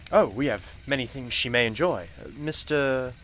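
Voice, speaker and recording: A man's voice: mid-range voice, normal speed, fairly bright tone, slight background noise, close to an everyday voice. A man playing a courteous, kindly gift shop proprietor in an audio drama, speaking with formal, soothing politeness. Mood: welcoming. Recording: faint music under the voice.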